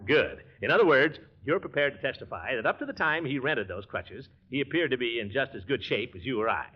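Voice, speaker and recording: A man's voice: medium pitch, lively intonation, fairly quick, natural voice. An actor playing a blustering, easily exasperated middle-aged man in a 1940s radio comedy. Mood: wheedling. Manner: blustery, chuckling, easily riled. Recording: dull 1940s radio recording.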